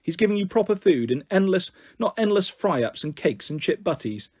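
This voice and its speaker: A man's voice: high voice, very animated, moderate pace, a little mellow, husky, dry recording, studio quality, pitched above the performer's own voice, full character voice. A man voicing an effusive, fretting mother on the phone, as dialogue in an audiobook. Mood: fond, fussing. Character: excitable, gushing, fussing mother on the phone.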